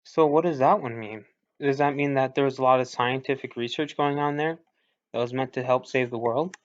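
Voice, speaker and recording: Male game commentator, mid-pitched, informal and a little hesitant, explaining as he thinks aloud. Muffled lo-fi sound. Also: fairly even intonation, brisk. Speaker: gaming video commentator. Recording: dull lo-fi recording.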